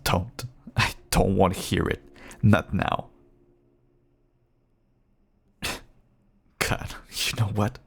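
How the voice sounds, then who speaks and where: Gravelly, slow-talking vampire lover, played by a man. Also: deep voice, fairly even intonation, fairly bright tone, heavily acted. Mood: fed up. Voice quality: husky, gravelly, breathy at times. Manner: slow, coaxing, with sardonic edges. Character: infected male vampire lover, caged, pleading, seductive, bitter. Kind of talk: intimate roleplay audio acting, addressing a partner.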